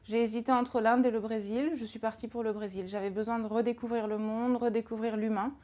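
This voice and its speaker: Thirty-something woman with a higher voice. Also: little pitch movement, fairly quick, warm tone. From France. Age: 30s. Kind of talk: interview.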